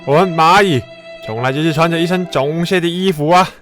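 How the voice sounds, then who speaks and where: A man voices a cocky little ant in a children's radio play, pitched high. He declaims with grand, puffed-up importance over a music bed. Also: fairly bright tone, lightly acted. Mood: puzzled. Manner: pompous, slow, declaiming announcements. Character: boastful little male ant, grandly self-important, fibbing. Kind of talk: children's radio drama acting.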